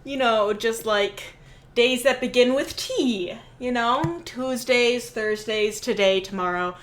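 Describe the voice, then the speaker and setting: A woman's voice: fairly high pitch, slow, a little hiss or hum. A woman hosting a tabletop game on a livestream, chatting casually in a playful, joking way and laughing readily. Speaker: game master and stream host.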